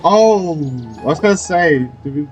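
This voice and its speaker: Animated man doing casual game commentary. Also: high voice, unhurried, faint music behind. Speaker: gaming video host.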